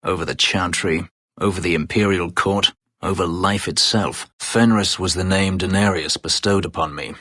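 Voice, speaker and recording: Brooding escaped-slave elf fighter for fantasy RPG: deep, raspy, terse, formal, sardonic edge, dry studio sound. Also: male voice, fairly even intonation, moderate pace, sharp tone, no room sound. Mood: somber, sincere. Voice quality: husky, gravelly low voice. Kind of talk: dramatic fantasy game voice acting.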